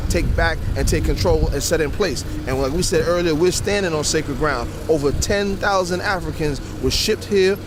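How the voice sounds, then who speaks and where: Male street activist, quick and slightly high-pitched, preaching lessons emphatically outdoors over clear traffic noise. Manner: emphatic, didactic. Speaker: activist speaker. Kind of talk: impromptu street speech to camera. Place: outdoors in a city square.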